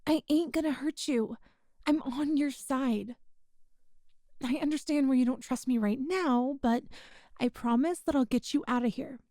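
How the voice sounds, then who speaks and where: A woman playing a kind, tough young rebel rescuer in an ASMR roleplay, with a folksy, hushed way of talking. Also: sits high, unhurried, dry recording, studio quality. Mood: urgent, reassuring. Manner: folksy, reassuring, hushed, uses "ain't".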